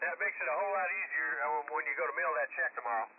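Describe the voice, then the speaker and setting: Higher voiced guy on ham radio, stammery casual chat, phone-like sound with light static. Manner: halting, stammering with repeated syllables. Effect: shortwave single-sideband radio. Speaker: amateur radio operator. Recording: narrow phone-like radio band, faint static. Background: faint radio static.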